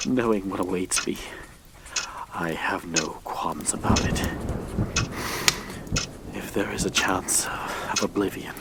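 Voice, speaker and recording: Heavy background noise with rain, a guilt-ridden grave robber, low voice, brooding, deliberate, gothic horror audio drama. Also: male voice. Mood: grim, hushed. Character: guilt-ridden male grave robber narrating his doom.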